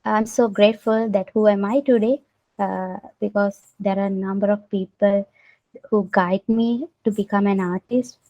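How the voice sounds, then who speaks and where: A woman's voice: medium pitch, steady tone, moderate pace, warm tone. A woman with a Sri Lankan accent answering interview questions as an artist, hesitant and searching for words. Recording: dull lo-fi recording.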